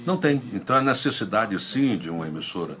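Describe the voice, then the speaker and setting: Brazilian radio host guy, lowish voice, talking to listeners. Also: lively intonation, fast talker, sharp tone. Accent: Brazilian Portuguese. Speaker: radio presenter. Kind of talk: radio greeting and interview talk.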